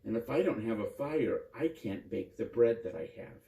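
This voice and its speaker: A man voicing a poor, kindly village woman in a children's folktale, gentle and plain-spoken. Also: lowish voice, lively intonation, normal speed, vocal fry. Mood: troubled. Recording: roomy echo, distant microphone.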